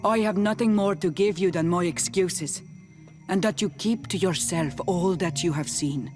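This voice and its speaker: A woman playing a proud, warlike clan leader in a video game, with solemn, deliberate, formal delivery. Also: fairly low pitch, a little mellow, faint music behind, close to an everyday voice. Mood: solemn, apologetic. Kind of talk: video game dialogue acting.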